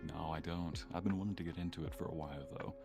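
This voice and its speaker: A man's voice: sits low, fairly even intonation, fast, vocal fry. A man chatting casually as a guest on a live stream. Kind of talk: casual chat. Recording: faint music behind the voice.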